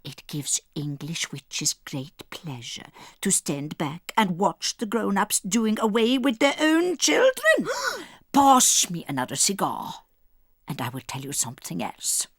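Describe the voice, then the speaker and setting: A woman's voice: on the low side, huge pitch swings, measured pace, husky, dry recording, clean studio sound, full character voice. An actress playing a protective old grandmother, a solemn and dramatic storyteller in a dramatized audiobook. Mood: grave, relishing.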